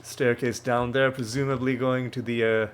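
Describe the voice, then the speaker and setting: A man's voice: medium pitch, flat delivery, normal speed, a little hiss or hum. Man with a slightly nasal voice. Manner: rambling, self-correcting explainer. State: recovering from a cold. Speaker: let's play host. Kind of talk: casual gameplay commentary. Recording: faint background music.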